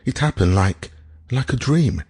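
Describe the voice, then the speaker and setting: A man's voice: mid-range voice, animated, a little slow, darkish tone, husky, voice pushed up. A man voicing an angry, bewildered, impatient defeated man in a storybook reading, speaking in exclamations. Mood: bewildered, frantic.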